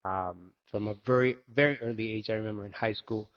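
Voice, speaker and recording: Man in his 40s, from Argentina, low voice, thoughtful, halting, muffled lo-fi sound. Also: fairly even intonation. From Argentina, raised in Miami. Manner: thoughtful, halting, many restarts. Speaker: guest, yoga instructor and coach. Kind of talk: reflective personal storytelling.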